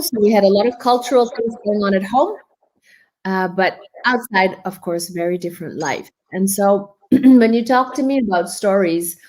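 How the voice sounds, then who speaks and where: A woman raised in the Netherlands, speaking as an interview guest on a video call, earnest and reflective, laughing easily as she tells her story. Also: mid-range voice.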